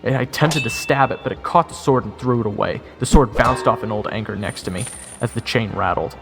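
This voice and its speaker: A man's voice: medium pitch, fairly quick, gravelly. Male dramatic storyteller with long reverb. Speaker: narrator and hero of an audio roleplay. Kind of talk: first-person dramatic scripted narration. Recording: long reverb, music under the voice.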